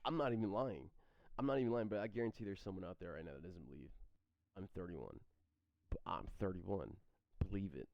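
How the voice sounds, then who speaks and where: Halting low-voiced man on stream. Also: vocal fry. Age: 30s. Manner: halting, repeats words and restarts often. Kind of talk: rambling stream chat answering chat questions. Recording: dry, slightly dull stream mic audio.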